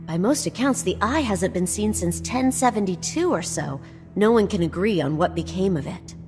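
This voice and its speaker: A woman's voice: mid-range voice, expressive, moderate pace, close to an everyday voice. Wry, nerdy female explorer for a fantasy game played by a woman, bookish explainer, big reverb, music underneath. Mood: keen, scholarly. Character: wry, nerdy female explorer-scholar, quick with dark jokes. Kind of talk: scripted video game dialogue. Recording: long hall reverb, game music behind.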